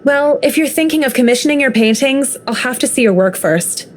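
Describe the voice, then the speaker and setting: A woman's voice: sits high, animated, a little fast, a little hiss or hum. A woman voicing a sardonic, worldly female client in a visual novel, blunt and dry, teasing and lecturing. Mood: blunt, superior.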